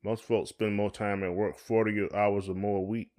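Low-voiced man, casual podcast co-host. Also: level tone, moderate pace, darkish tone. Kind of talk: casual conversational podcast chat.

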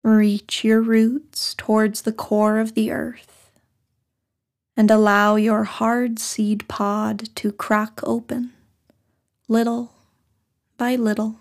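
A woman leading a guided meditation in a soft, breathy, soothing voice, speaking short phrases with long pauses. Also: fairly high pitch, little pitch movement. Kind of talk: guided meditation, scripted narration.

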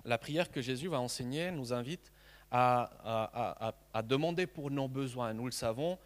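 A man in his thirties from Mexico, a native Spanish speaker, preaching a sermon in an earnest, halting way with many repeated words. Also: medium pitch, big-room sound.

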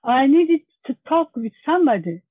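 A woman's voice: sits high, very animated, moderate pace, no echo. A woman with a Turkish accent being interviewed as an English learner, with careful, non-native phrasing. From Turkey. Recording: thin phone-like line.

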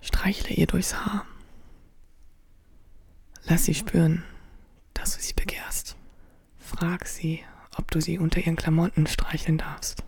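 A woman in a radio play whispering slow, soft, intimate instructions. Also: deep voice, steady tone, vocal fry, breathy edge, dry recording. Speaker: performer in an audio play.

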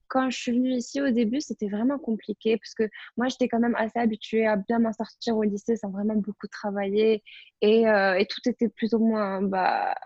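A woman's voice: a little high, a little mellow, dry recording, lo-fi sound. A young woman from Morocco chatting casually and personally as a student on a podcast panel. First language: French. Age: young adult.

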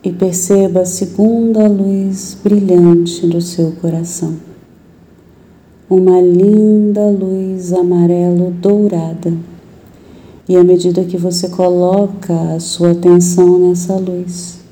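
Dark, slow-voiced Brazilian woman guiding meditation. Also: on the low side, little pitch movement, soft background music. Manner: soothing, gentle, unhurried with long pauses. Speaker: meditation guide. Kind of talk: guided meditation.

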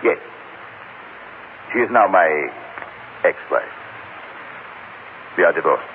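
An actor playing a proud, guarded, cultured middle-aged sculptor who speaks formally and deliberately in an old-time radio drama. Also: male voice, low voice. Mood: brooding. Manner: formal, deliberate, stilted phrasing without contractions. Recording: tinny, noisy old radio broadcast.

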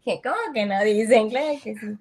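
A woman's voice: a little high, brisk, a little mellow. A young woman from Mexico with a Mexican accent, chatting casually as a podcast guest in a slangy, easygoing way and laughing often. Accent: Mexican Spanish. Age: young adult. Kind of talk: casual chat.

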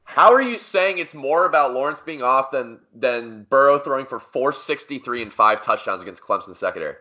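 A man's voice: medium pitch, expressive, fairly quick, pushed and bright, dull audio. A man co-hosting a casual sports podcast, arguing his points emphatically and repeating them for force. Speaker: podcast co-host.

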